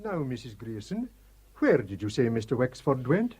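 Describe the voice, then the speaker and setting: An actor playing a calm, patient middle-aged father in a radio drama, husky, courteous and measured. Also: medium pitch. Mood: inquiring.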